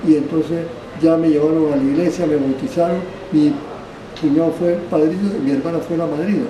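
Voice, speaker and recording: An older man from Panama, recounting his life as a barber in a rambling, halting way, restarting phrases and adding tag questions. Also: a little high, steady tone, crowd noise. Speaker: barber being interviewed. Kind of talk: rambling oral history. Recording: roomy, distant mic, background ambience.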